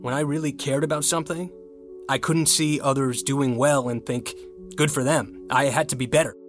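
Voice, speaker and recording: A man's voice: mid-range voice, fairly even intonation, normal speed, lightly acted. Guy acting as earnest american college freshman rower, bit unsure of himself, audio drama, music under it. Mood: reflective. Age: young adult. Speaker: narrator and lead character. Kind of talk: scripted audio drama, first-person narration and dialogue. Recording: music behind the voice.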